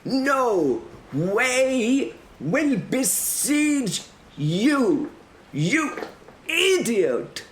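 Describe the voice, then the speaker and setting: Shrieking, haughty foppish herald with a silly accent. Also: male voice, very high voice, very animated, drawn-out words, roomy sound, slight background noise, voice pushed up, heavily acted. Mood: raging, haughty. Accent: exaggerated foreign. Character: foppy raging male herald, shrill and hot-tempered.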